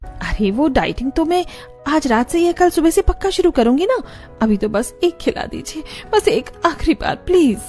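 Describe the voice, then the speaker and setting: A woman's voice: high-pitched, very animated, normal speed, a little mellow. A woman playing a pleading, childlike young wife in a scripted cartoon story, with a whiny manner. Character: plaintive young overweight wife, childish and food-loving. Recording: music under the voice.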